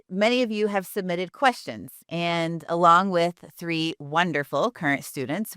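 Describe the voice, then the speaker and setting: A woman's voice: low voice, a bit bright, smooth, dry recording, studio quality. A middle-aged woman hosting a university welcome event over a video call, speaking in a formal, emphatic way. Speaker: university admissions host. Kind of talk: welcoming speech and panel moderation.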